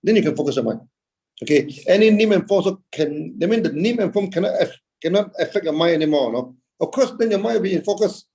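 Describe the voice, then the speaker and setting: Man, Buddhist teacher, somewhat high, gravelly and dark, halting and full of fillers. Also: animated. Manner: halting, frequent "okay" and "uh", rhetorical questions answered himself. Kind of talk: dharma talk. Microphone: video call.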